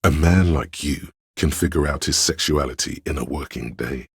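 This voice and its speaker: An arty pompous voice in a detective's head, super husky, extremely low, game narration, theatrical. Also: male voice, moderate pace, no echo, studio quality, full character voice. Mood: wry, reflective. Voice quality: gravelly, husky. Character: pretentious, arty inner voice of a detective's creative mind. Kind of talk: video game narration, second-person commentary.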